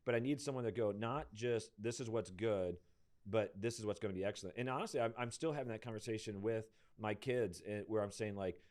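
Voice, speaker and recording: A middle-aged man with a hoarse voice, a pastor co-hosting a casual talk, thinking aloud with frequent restarts. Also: lowish voice, brisk, a little mellow. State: losing his voice. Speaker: pastor and podcast co-host. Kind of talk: casual conversational discussion.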